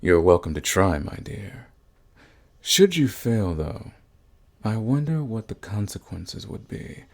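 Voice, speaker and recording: Man acting a deep, slow, menacing vampire lord. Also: sing-song, creaky, heavily acted. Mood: menacing, coaxing. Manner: menacing, silky, taunting, formal and deliberate. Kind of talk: ASMR roleplay audio drama.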